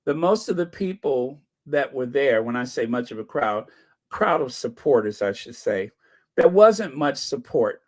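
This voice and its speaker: An older man hosting a commentary channel, talking with a hoarse, scratchy voice and dry sarcasm. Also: mid-range voice, animated, unhurried, darkish tone. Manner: wry, sarcastic asides. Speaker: channel host. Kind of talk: casual commentary, reading viewer comments.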